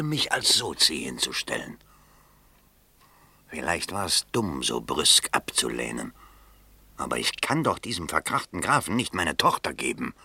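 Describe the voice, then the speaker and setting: An actor in a German radio play as an anxious, opportunistic middle-class man running for parliament, pompous and husky, wavering between muttering and declaiming. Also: deep voice, bright, forceful tone, no room sound, full character voice.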